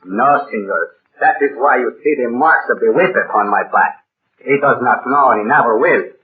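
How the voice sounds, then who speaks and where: A man's voice: mid-range voice, natural voice. A man acting old Spanish-accented rancher, tinny radio. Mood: confiding. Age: older. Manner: formal, halting broken English. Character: proud, sorrowful old Spanish-speaking rancher, tortured and robbed. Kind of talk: 1930s radio drama acting. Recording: tinny, band-limited 1930s radio recording.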